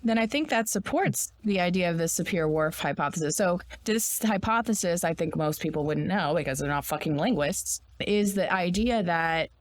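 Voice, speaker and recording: A woman's voice: on the low side, animated, normal speed, few pauses. Blunt, opinionated woman podcast host. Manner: opinionated, explanatory, blunt with swearing. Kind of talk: casual podcast discussion.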